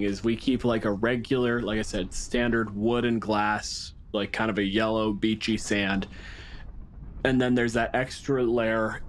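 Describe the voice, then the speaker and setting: A man narrating a tabletop game as game master, describing scenes in deliberate, vivid phrases. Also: fairly low pitch, a little hiss or hum. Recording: music behind the voice. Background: background music.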